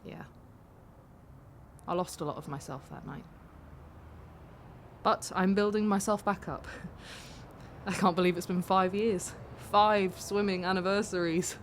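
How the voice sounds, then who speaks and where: An actress playing a candid, vulnerable young woman taxi passenger in an audio drama, confiding in a halting, self-deprecating way. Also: fairly low pitch, fairly even intonation, a little hiss or hum. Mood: relieved, self-conscious.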